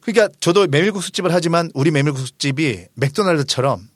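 A man's voice: a little high, animated, quick pace, no echo, studio quality. A man in his forties hosting a book discussion, explaining ideas conversationally and emphatically. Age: 40s. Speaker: host of a book discussion show; restaurant owner.

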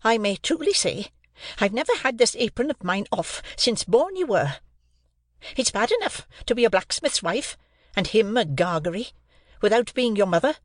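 A man voicing a harsh, bullying older housewife who scolds loudly, in a storybook reading. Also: high voice, big pitch swings, normal speed, no room sound, studio quality, voice pushed up. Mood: scolding. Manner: loud, scolding, stressed words.

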